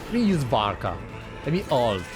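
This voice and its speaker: A man's voice: mid-range voice, sing-song, moderate pace. A man giving casual, informal gameplay commentary as a streamer, muttering his reactions. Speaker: video game streamer. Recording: game music behind the voice. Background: game music.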